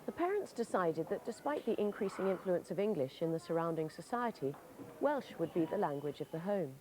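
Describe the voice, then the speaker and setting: A woman giving measured, formal, scripted narration for a documentary. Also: fairly low pitch, expressive, a little mellow, slight background noise, rough recording.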